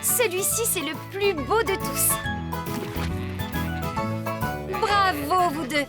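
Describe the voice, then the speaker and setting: A high-pitched, kindly farm woman in a children's cartoon. She is affectionate and encouraging, speaking with open enthusiasm and expressive phrasing. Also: unhurried, over loud music. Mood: impressed, wistful.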